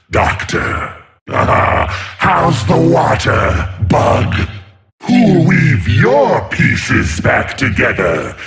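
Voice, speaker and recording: A man voicing a huge, arrogant sea monster in a video game, taunting his foes with sneering, mocking lines and shouted outbursts. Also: on the high side, vocal fry, heavily acted.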